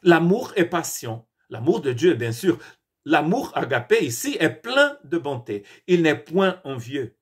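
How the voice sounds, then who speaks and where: Man, husky voice, pastor, earnest devotional talk, halting restarts. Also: a little high, very animated. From Portuguese-speaking country. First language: Portuguese. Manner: earnest, with frequent restarts and hesitations.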